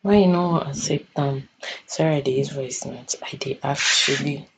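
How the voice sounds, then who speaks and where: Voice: medium pitch, normal speed, background noise. A person with a Nigerian accent chatting casually in a voice note, informal and slipping into Pidgin. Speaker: storytelling channel creator.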